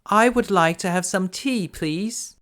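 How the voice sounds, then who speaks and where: Young storybook heroine, voiced by a man: raised pitch, tender, devoted, polite. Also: takes it slowly, a bit bright. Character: sweet, devoted young woman of a fairy tale. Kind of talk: storybook character voice.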